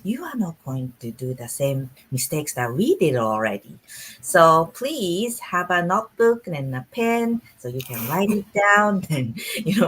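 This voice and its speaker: Woman, host, live video chat, low-pitched, very lively intonation. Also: slight background noise, rough recording. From Japan. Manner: animated, chatty, laughs often. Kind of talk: live online business chat.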